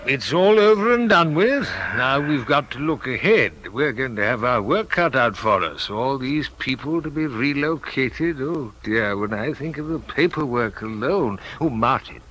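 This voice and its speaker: An actor in a 1950s satirical radio play playing a genial senior official, courteous and avuncular. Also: male voice, medium pitch, very animated, a little slow, a bit bright, slight background noise, rough recording. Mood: cheerful, busy. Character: genial male senior official, busy benevolent boss. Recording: old radio recording, faint music.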